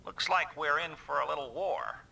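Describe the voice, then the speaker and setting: An actor playing a gruff mech squad leader in a video game, giving clipped, authoritative military orders. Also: male voice, a little high, lively intonation, normal speed, recorded from a distance, heavy background noise. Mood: grave, businesslike.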